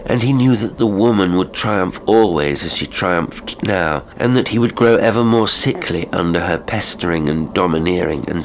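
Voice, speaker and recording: A man narrating a classic horror audiobook in a measured, literary, theatrical manner. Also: deep voice, slight background noise, rough recording. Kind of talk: dramatic horror story narration. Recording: music behind the voice.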